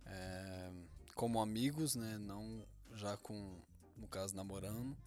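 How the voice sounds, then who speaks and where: A young man, co-hosting a casual couple podcast with boastful, deadpan jokes. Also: on the low side, a little slow, faint music behind. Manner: joking, boastful, deadpan teasing. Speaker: podcast co-host.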